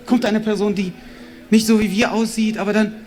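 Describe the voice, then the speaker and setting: A man performing spoken word on stage, talking directly to the audience with wide, animated swings of voice. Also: fairly quick, slight background noise. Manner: animated, addresses the crowd directly, swings pitch widely. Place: stage before a live audience.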